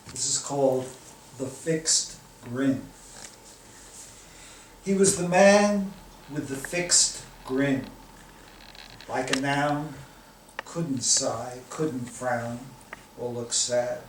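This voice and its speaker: A man hosting a poetry reading and reciting, with a deliberate, halting delivery. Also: medium pitch. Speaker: host and poet at a poetry reading. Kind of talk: live poetry reading and spoken introductions. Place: indoor poetry reading, recorded from a distance. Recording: echoey room, distant mic, faint noise.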